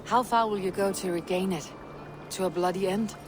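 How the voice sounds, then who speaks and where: A woman playing a stern, confident Viking chieftain in a video game, speaking in a measured, commanding way. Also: medium pitch, steady tone, music in the background, close to an everyday voice. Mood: measured, probing. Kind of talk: dramatic video game acting.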